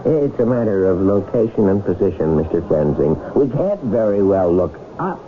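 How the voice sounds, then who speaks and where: An actor playing a skeptical, secretive, stubbornly literal husband with dry wit and formal wording, in 1970s radio drama style. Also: male voice, fairly low pitch, very animated, normal speed, a crowd in the background. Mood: dry, needling. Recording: tinny old radio broadcast, music behind.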